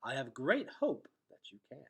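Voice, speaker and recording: A man voicing a kindly, wise male elder in a storybook, with measured, formal, old-fashioned phrasing. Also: medium pitch, huge pitch swings, husky, exaggerated voice. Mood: gently encouraging.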